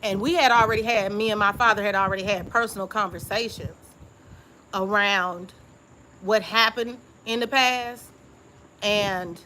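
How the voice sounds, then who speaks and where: A woman's voice: medium pitch, measured pace, slight background noise, poor audio. A woman YouTube creator talking through old journal entries in a casual live-stream chat, confiding and reflective, often checking in with her listeners with "okay?". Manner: relaxed informal speech.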